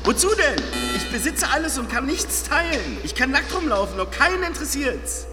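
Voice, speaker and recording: A man's voice: extremely high pitch, sing-song, moderate pace, over loud music. A man playing a grumpy, weary hermit who is the last human alive, grumbling sarcastically and crudely. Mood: sarcastic. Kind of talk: audio drama acting.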